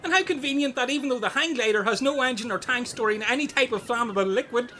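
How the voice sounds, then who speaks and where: A woman's voice: fairly high pitch, quick pace, sharp tone, slight background noise. A woman acting an aggressive, impatient castaway robber in a comedic radio play, blunt and sweary. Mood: flustered, blustering.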